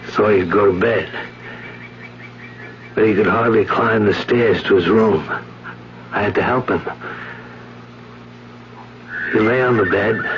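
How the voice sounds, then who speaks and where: An actor in a 1970s radio drama playing a somber friend bearing news of a death, halting and subdued. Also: male voice, on the low side. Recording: dull, noisy old radio recording.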